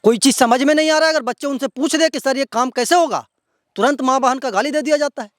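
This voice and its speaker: A man's voice: very high voice, fast talker, no room sound, clean studio sound. A man with a Bihari accent presenting outspoken local news commentary to viewers in an indignant, emphatic, repetitive way.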